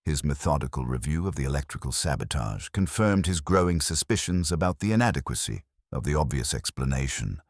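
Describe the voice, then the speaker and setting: A man narrating a scripted mystery story in a formal, measured literary manner. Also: extremely low pitch, no echo, studio quality. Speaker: audiobook narrator.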